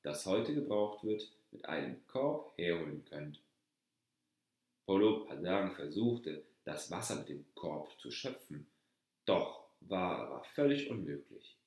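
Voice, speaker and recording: A man reading a fairy tale aloud as a storyteller, in a measured, deliberate manner. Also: low voice, darkish tone. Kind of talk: scripted fairy-tale narration. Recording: very echoey, reverberant room.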